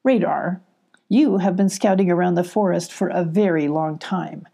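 A wise mother owl from a children's storybook, voiced by a woman reader. She is husky and reassuring, and her exclamations come out as swooping hoots. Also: fairly low pitch, normal speed, dark tone. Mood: calm, assured. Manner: hooting exclamations.

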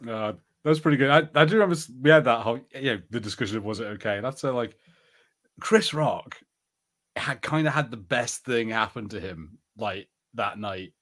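A man with an average-pitched voice shares hosting on a casual podcast. His talk is informal and conversational, with an easygoing, agreeable manner. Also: fairly quick, a bit bright. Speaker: podcast co-host.

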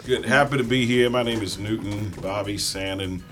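A man's voice: fairly low pitch, moderate pace, fairly bright tone, faint music behind. A middle-aged or older man, a chief executive, introducing himself as a guest in a panel talk.

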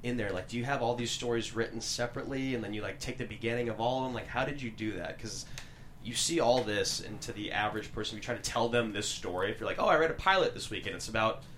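A man's voice: mid-range voice, fast talker, fairly bright tone, a little hiss or hum. A man putting questions in a casual panel interview, speaking informally and with emphasis.

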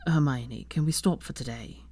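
A woman voicing a stiff, proud young wizard in a low, serious tone. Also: normal speed, breathy edge, no echo, full character voice. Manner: low, flat, serious delivery.

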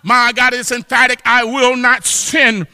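A man preaching a fervent church sermon, exhorting with repeated exclamations of praise and often rising into shouts. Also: extremely high pitch, level tone, measured pace, clear, resonant tone, studio quality. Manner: impassioned, often breaking into shouts. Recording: long hall reverb.

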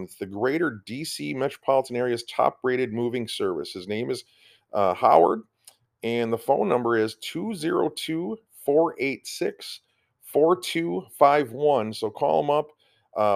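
Man, middle-aged, podcast host, casual rambling chat, lots of ums and restarts, mid pitch, home recording. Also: gravelly.

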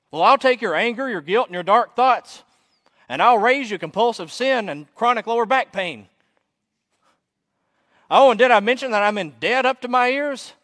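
A man voicing a brash heckler with wry good humour in a sermon story, loud, challenging and teasing. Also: very high-pitched, lively intonation, unhurried, pauses often, pitched above the performer's own voice, heavily acted. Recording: echoey hall with long reverb.

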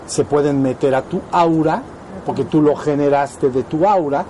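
Mellow, higher-voiced Mexican man teaching. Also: normal speed, slight background noise. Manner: explanatory, often checks understanding with short questions. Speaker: spiritual teacher. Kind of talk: informal spiritual lecture to students.

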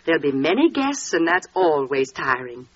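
A woman's voice: low-pitched, very animated, moderate pace. An actress playing a caring, motherly woman who fusses firmly and soothingly, in 1940s radio drama style. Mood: gently bossy. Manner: fussing, motherly, gently bossy. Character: caring, brisk woman tending a tired relative, firmly soothing. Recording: dull 1940s radio recording.

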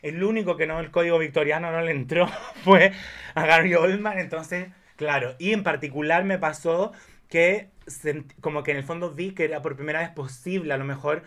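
Male, thirties. Fairly high-pitched, expressive, rambling podcast conversation with a camp touch. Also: normal speed. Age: 30s. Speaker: podcast guest or co-host discussing films. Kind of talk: casual conversational chat.

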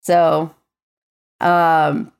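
A woman co-hosting a podcast, sick with a raspy voice, chatting casually. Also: low voice, little pitch movement, extremely slow. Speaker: podcast co-host.

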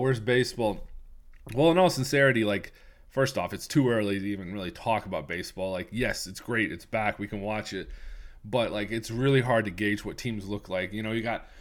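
A man's voice: medium pitch, a bit bright. Stuffy-voiced man ranting about sports. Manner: rambling, opinionated, with many restarts and fillers. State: stuffy from a cold. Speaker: podcast host. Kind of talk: casual solo sports podcast talk.

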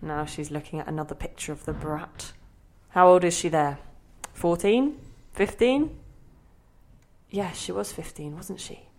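A bewildered, insistent inner voice. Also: female voice, lowish voice, measured pace, a little mellow. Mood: scornful, probing. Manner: questioning, fretful, insistent. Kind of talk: audio play monologue and dialogue.

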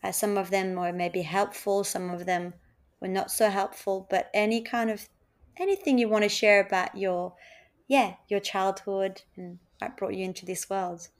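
A woman with a medium-pitched voice hosts a reflective podcast. She talks casually and thoughtfully, feeling her way through short, halting phrases that sometimes trail off. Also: fairly even intonation. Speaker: podcast host.

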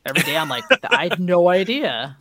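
A man's voice: sits high, very animated, fairly quick, a bit bright, no room sound. A man co-hosting a podcast in casual, conversational chat. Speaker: podcast co-host.

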